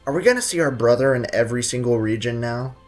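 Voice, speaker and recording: Very low-voiced woman streamer, wry. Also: quick pace, a little mellow. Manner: sarcastic, wry, slangy. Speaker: game streamer. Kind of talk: casual gameplay commentary. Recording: faint game music behind.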